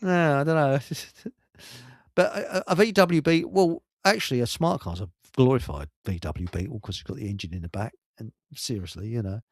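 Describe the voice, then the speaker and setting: A man's voice: medium pitch, a little fast, no echo, studio quality. An older man, chatting casually as a radio host and chuckling through rambling anecdotes. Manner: jovial, chuckles through his stories.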